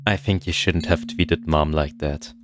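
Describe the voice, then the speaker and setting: A man voicing a calm, cold male intruder who claims the room as his own, speaking in a flat, slow, unbothered way. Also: extremely low pitch. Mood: coldly calm.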